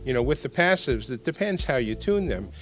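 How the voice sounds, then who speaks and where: A man's voice: medium pitch, big pitch swings, brisk, soft background music. An older man being interviewed as a product designer, explaining technical details and repeating words for emphasis.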